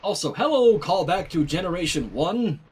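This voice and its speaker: A man's voice: high-pitched, moderate pace, slightly rough. A man reading fan fiction aloud and commenting on it in a casual, conversational way. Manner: animated, conversational. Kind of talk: casual commentary and story narration.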